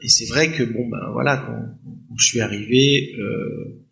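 A man's voice: mid-range voice, a little slow, creaky, fairly bright tone. A man answering conversationally as an interview guest, hesitant as he searches for his words. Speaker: interview guest, restaurant professional.

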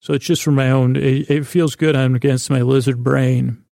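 A man with a creaky voice hosting a sleep podcast, rambling in a halting, meandering way and often stumbling over his words. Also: mid-range voice, little pitch movement, dark tone, no echo, clean studio sound. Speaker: podcast host. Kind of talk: rambling bedtime-story sleep podcast monologue.

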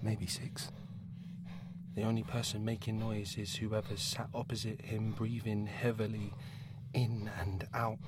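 A man narrating an audio drama intensely, sometimes dropping to a hushed whisper. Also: fairly low pitch, little pitch movement, unhurried, heavy background noise.

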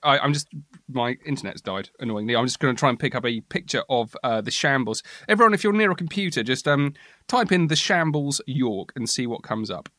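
A man's voice: medium pitch, animated, fairly quick, fairly bright tone, no echo. A man co-hosting a casual chat, often restarting his sentences as he thinks aloud.

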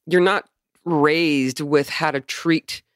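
A woman's voice: low voice, fairly even intonation, slow pace, smooth. A middle-aged woman co-hosting a podcast in casual conversation, chatty and self-deprecating. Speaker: podcast co-host.

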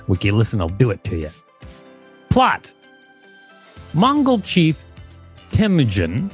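A man co-hosting a podcast, reading an article aloud and making wry, joking asides. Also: on the low side. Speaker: podcast co-host. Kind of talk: reading aloud with casual commentary.

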